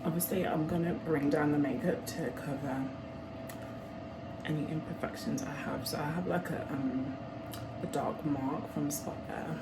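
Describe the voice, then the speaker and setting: A young woman vlogger with a Birmingham accent talks casually to camera. Her voice sits a little low and has a slightly nasal edge. There is clear noise behind her in the recording. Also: steady tone, normal speed. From Birmingham, England. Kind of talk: casual chat to camera while getting ready. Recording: clearly noisy room background.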